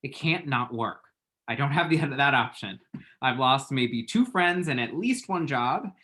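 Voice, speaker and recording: A man's voice: mid-range voice, animated, a little slow, sharp tone. A male actor plays a self-dramatizing young man in a comic screenplay read. He is lovestruck and anxious, and talks in chatty, emphatic rambles. His voice sits in the middle of the range. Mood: anxious, determined. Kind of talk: screenplay table read acting.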